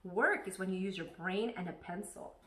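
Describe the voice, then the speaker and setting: A woman's voice: medium pitch, moderate pace, pushed and bright, distant mic. A woman narrating a children's story expressively, like a teacher reading aloud to pupils. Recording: echoey room.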